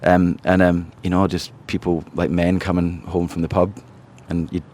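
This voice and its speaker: A man with a broad Glasgow Scottish accent and a notably low voice talks through his story in a relaxed interview. He hesitates often, doubling back on words before carrying on. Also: moderate pace. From Scotland. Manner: hesitant, many restarts and fillers. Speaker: podcast guest, charity founder. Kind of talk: casual interview chat.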